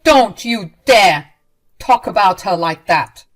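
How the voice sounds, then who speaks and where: A woman's voice: medium pitch, animated, slow pace. A woman voicing a protective young husband with a raised, urgent voice. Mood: angry. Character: protective young husband, worried then angry.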